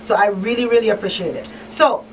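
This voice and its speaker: A woman's voice: medium pitch, fast talker. A woman hosting a talk show in a conversational, emphatic and plain-spoken way, repeating key phrases. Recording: distant mic, faint background noise.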